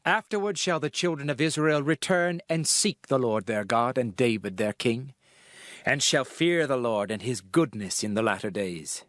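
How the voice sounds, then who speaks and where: A man's voice: mid-range voice, normal speed, fairly bright tone, no echo, studio quality. A man narrating scripture in a dramatized style, with a solemn, declamatory delivery as a prophet. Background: music.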